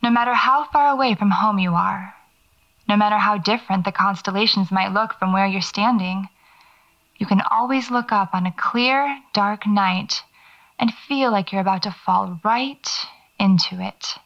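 An actress playing a pensive, wry horror writer, musing reflectively into a taped diary in an audio drama. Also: female voice, mid-range voice, normal speed, fairly bright tone, close to an everyday voice. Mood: pensive, wistful. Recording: slightly dull cassette diary sound.

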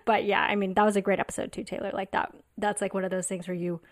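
A woman, a comedian, musing thoughtfully in a casual panel conversation, quick and full of fillers. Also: medium pitch, lively intonation.

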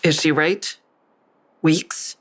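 A bitter, guarded grown daughter in an audio drama. Her voice sits low and is recorded close and dry. She speaks tersely, with a confrontational edge. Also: fairly even intonation, extremely slow, no echo, full character voice. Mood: tense, confrontational. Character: guarded, bitter adult daughter of a dying mother. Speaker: lead actor narrating and playing a daughter. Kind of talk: audio drama acting with first-person narration.

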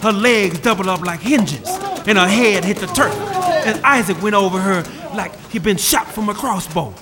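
A man's voice: high-pitched, normal speed. A man narrating an old-time radio drama in a folksy storytelling manner. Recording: old radio recording with music behind.

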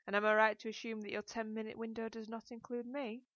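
A woman reader plays a teasing, amorous gentleman in an audiobook. He speaks formally, with wry persistence and coaxing charm. The sound is dry and slightly dull. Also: fairly high pitch, little pitch movement, fast talker, dry recording, voice pushed up, lightly acted. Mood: wry, reluctant.

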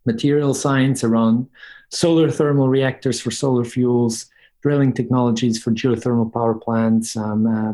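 A man's voice: medium pitch, warm tone. A man from Switzerland, a podcast guest explaining things in a methodical, conversational way. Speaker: guest, company co-founder. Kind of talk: conversational interview answers.

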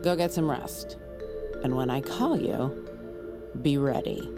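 A stern female senior agent in an audio drama. Her voice sits low for a woman and she speaks in clipped, formal commands. Clear music plays behind her cold, controlling delivery. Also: animated, slow, exaggerated voice. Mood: measured authority. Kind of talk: scripted audio drama acting.

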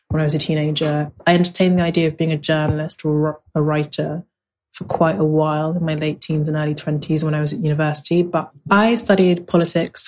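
A young woman, born in Nigeria, talking reflectively in an interview and leaning on fillers like "kind of". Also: sits low. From Nigeria, raised in South London. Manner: relaxed, many fillers like "kind of". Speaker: interviewee, writer.

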